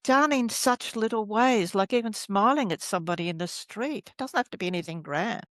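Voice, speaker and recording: A woman's voice: mid-range voice, animated, a little fast, no room sound. A woman, a guest healer telling her story in an earnest, searching way. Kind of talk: casual spiritual interview talk.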